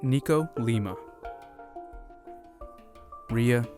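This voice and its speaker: Male credits announcer, slow scripted delivery, medium pitch, clear music bed. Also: a little mellow. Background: prominent music.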